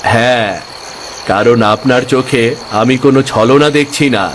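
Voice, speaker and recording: A man voicing a poor, kindly young village boatman in an animated fairy tale, speaking politely and earnestly. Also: mid-range voice, a little slow, slight background noise, natural voice.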